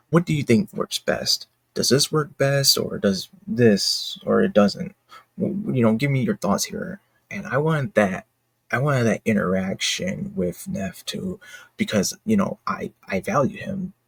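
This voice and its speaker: A man giving casual, unscripted commentary as a creator, with a husky, gravelly voice and a halting, rambling, self-correcting way of talking. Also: on the low side, no room sound. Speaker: indie manga creator and narrator.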